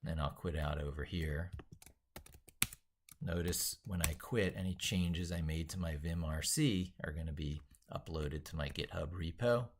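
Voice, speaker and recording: A man's voice: extremely low pitch, measured pace, a little hiss or hum. A man giving an unscripted live-stream tech tutorial, rambling as he narrates what he does and leaning hard on his points. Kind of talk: unscripted live-stream tutorial, thinking aloud while working.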